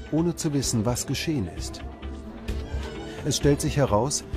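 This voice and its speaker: A man narrates a documentary from a script, with a medium-pitched voice. His delivery is measured and dramatic, and music plays clearly behind him. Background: music bed.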